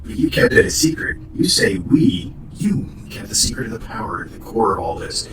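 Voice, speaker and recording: A man playing a cold, accusing co-host in an audio drama, calm and menacing. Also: lowish voice, moderate pace. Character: cold male co-host turned traitor. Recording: roomy distant noisy audio.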